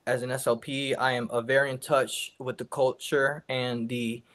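A man's voice: mid-range voice, level tone, normal speed, fairly bright tone. A young man with a stutter, giving a college class presentation over a video call. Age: young adult. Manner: stutters with sound repetitions and blocks. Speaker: student presenter.

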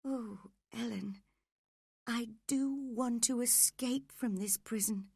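A desperate, frail young wife on her deathbed as a woman narrator acts her out. Her voice is high, slow and breathy. She pleads with dramatic passion, as in a storybook romance. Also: darkish tone, dry recording, studio quality, heavily acted. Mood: feverish longing.

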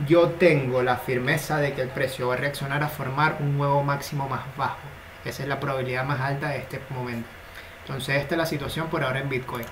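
A man analysing cryptocurrency charts in a steady, explanatory screen-share commentary. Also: mid-range voice. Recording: noticeable background noise.

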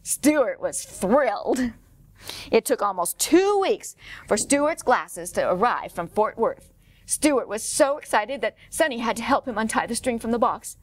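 A middle-aged woman storyteller reading a picture book aloud to children in an expressive way. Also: on the high side, a little slow, no echo. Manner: expressive, clearly paced for children. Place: indoor room, standing before a painted wall.

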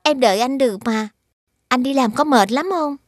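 A woman voicing a gentle, caring young mother. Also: high voice, normal speed, dry recording, clean studio sound, natural voice.

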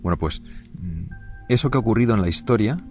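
Male priest, very low voice, unscripted catechesis, soft halting delivery, light music bed. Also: animated. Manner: gentle, halting, many fillers and restarts.

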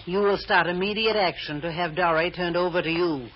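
A woman's voice: lowish voice, brisk, lightly acted. An actress playing a shrewd, bossy, scheming woman in an old radio drama, clipped and sardonic. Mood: commanding. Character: shrewd, bossy woman scheming and controlling her tippling companion. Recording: dull, faintly noisy old radio recording.

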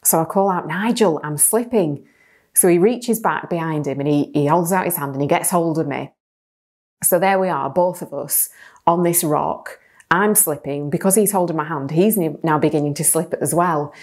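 A woman in her forties from England, with a low, deep speaking voice, shares a personal story in a sermon. She talks lively and straight to the camera in a small plain studio. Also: normal speed. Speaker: church speaker.

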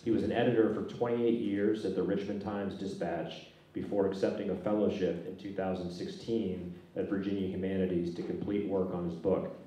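A man's voice: low-pitched, brisk, hardly any pauses. A middle-aged man moderating a panel, reading out speaker introductions with a handheld mic on a stage. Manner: reads out speaker bios in a steady, even way. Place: stage in a large dark hall. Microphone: handheld microphone. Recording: echoey hall, from far off.

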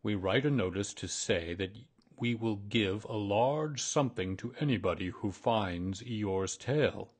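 A man voicing a pompous, long-winded owl in a children's story, with a ponderous, drawn-out delivery. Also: on the low side, husky. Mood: emphatic, instructive.

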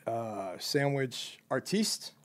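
A man's voice: mid-range voice, big pitch swings, drawn-out words, a bit bright. Young guy running a casual job interview, rambling and chatty, lots of uhs. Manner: loose, rambling, chatty with many fillers. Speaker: company owner interviewing a job applicant.

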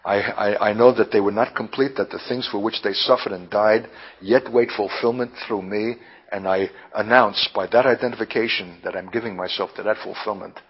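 A man preaching and teaching in a deliberate, emphatic manner with lofty wording, halting now and then to repeat himself. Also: on the low side, steady tone, husky. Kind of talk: impassioned prophetic sermon, teaching. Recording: dull, lo-fi sermon recording.

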